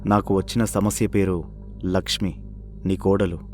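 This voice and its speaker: Man as low-voiced, dejected grown son. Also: little pitch movement, unhurried, a little mellow, soft background music. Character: weary, dejected grown son, respectful to father. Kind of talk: animated moral-story voice acting.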